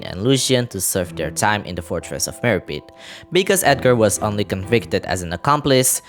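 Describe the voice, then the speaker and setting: Male recap narrator: lowish register, animated melody, scripted with offhand asides, faint music underneath. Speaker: video creator narrating a game story recap.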